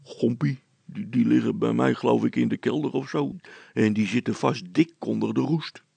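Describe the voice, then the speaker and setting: Village policeman, plain and bumbling, children's story, male reader; husky, creaky, muddled delivery. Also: mid-range voice, normal speed, mellow, no echo. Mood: sheepish. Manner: blunt, muddled, hesitant. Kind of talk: dramatized storybook reading.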